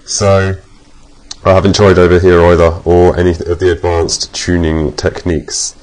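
A man demonstrating software while his voice runs through a pitch-shifting voice changer, rambling with ums. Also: level tone, normal speed, rough recording. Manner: rambling, with many ums and restarts. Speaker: software reviewer. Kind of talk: casual screen-recording tutorial.

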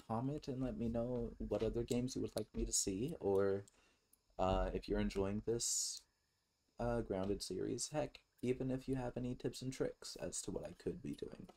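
Low-pitched man, casual gaming commentary. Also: a little mellow. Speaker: let's-play host.

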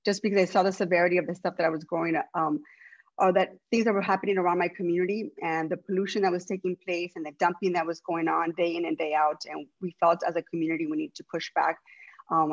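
Council president, female, native Spanish speaker, brisk, lower pitch, dry muffled call audio. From Los Angeles, USA. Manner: earnest, speaks with many restarts. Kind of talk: opening remarks at an online public meeting.

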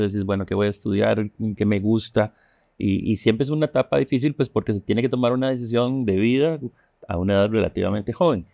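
Middle-aged man, engineer guest, low mellow gravelly voice, calm and explanatory. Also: no echo, clean studio sound. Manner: calm, explanatory, uses tag questions. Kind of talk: studio TV interview. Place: dark TV studio. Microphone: lapel mic.

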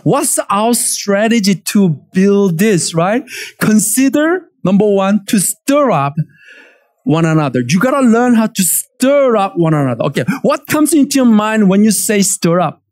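A man's voice: sits high, unhurried. Korean-accented man preaching animatedly. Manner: animated, emphatic preaching with call-and-response. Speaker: pastor. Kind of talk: sermon. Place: church stage, indoors.

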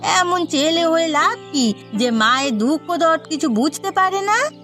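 A woman's voice: very high-pitched, lively intonation, unhurried, sharp tone, heavily acted. A woman voicing a nosy, trouble-stirring village gossip in a cartoon drama, insinuating and needling, with music behind.

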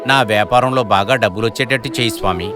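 A man's voice: medium pitch, fast, lightly acted. A man voicing a humble, devout and poor street-food vendor, an earnest father in a cartoon moral story. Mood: pleading. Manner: earnest, pleading. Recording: loud music behind the voice.

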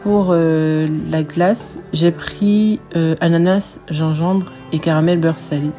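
A young woman reading a steady voice-over for her food review. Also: low-pitched, slow pace, soft background music. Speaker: vlogger.

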